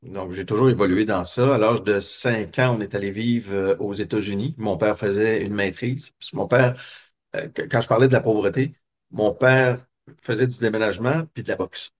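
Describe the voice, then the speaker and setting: Homme québécois qui raconte sa vie. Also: male voice, fairly low pitch, steady tone, moderate pace. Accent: Québécois French. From Quebec. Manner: reflective, many hesitations and fillers. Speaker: guest. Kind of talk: personal storytelling interview.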